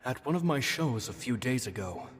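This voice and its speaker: A man's voice: mid-range voice, animated, moderate pace, husky, in a large hall. An actor playing a stage magician who recounts his diary in a conversational, storytelling way for a video game. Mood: reflective. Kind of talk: scripted game narration.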